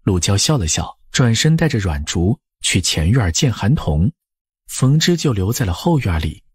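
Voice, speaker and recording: A man's voice: on the low side, big pitch swings, normal speed, slightly rough, dry recording, studio quality. A man narrating a historical novel as a scripted audiobook.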